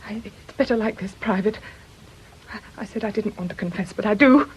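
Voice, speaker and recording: An actress playing a terrified, desperate married woman in a radio drama, agitated and halting, with urgent, breathy delivery. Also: medium pitch. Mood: anxious confession. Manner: agitated, halting, urgent, slipping into hushed tones.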